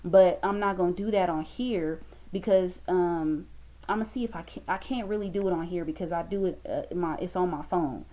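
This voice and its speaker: A woman's voice: fairly low pitch, moderate pace, mellow. Woman, 20s, motivational vlogger; emphatic, repetitive preaching into a laptop cam at home. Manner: emphatic, preachy, repeats for stress. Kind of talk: motivational talk to camera. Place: small room indoors.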